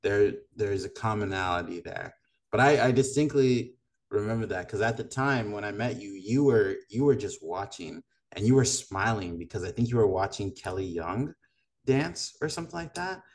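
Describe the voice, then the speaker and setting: A man's voice: fairly low pitch, animated, moderate pace. A man from Madagascar hosting a podcast, chatting casually and laughing easily. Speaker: podcast host. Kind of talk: casual chat.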